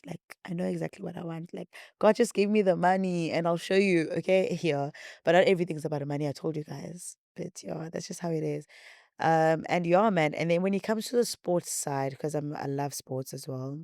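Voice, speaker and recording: A young woman with a South African accent and a deep voice, hosting her podcast in a rambling, repetitive, chatty way. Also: a little fast, gravelly, darkish tone, dry recording, studio quality. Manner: rambling, repetitive, chatty, says she talks a lot. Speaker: podcast host. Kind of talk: casual chat to viewers.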